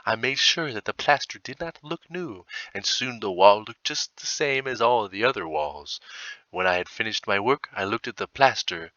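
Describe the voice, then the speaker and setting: Male narrator, piercingly forceful voice, theatrical horror storytelling, tormented killer's confession, dramatic pauses, dull close recording. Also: lowish voice, animated, no echo. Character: tormented, murderous man confessing from prison. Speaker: storybook reader.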